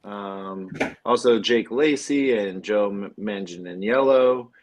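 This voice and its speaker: A man's voice: fairly low pitch, takes it slowly. A man co-hosting a casual film discussion, speaking in a somewhat hesitant way with frequent ums. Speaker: podcast co-host.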